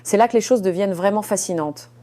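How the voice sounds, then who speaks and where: Mellow-voiced woman telling a story. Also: mid-range voice. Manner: measured, confiding, with frequent hesitant fillers. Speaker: narrator presenting as a surgeon. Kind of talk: first-person storytelling narration.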